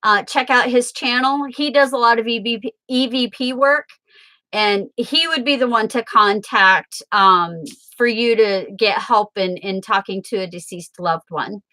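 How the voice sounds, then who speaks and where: Slow, bright-voiced woman chatting with viewers. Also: fairly high pitch. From Southern Illinois, USA. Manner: chatty, answers viewer comments aloud. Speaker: tarot reader and livestream host. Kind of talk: casual livestream chat with viewers.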